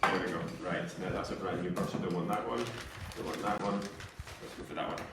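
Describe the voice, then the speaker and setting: A man's voice: low-pitched, flat delivery, creaky. Man, easygoing cake decorator; distant mic in a roomy kitchen. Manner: easygoing, jokey, quick asides. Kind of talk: live cake decorating demo. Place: home kitchen in Spain. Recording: echoey kitchen, far mic, loud hiss.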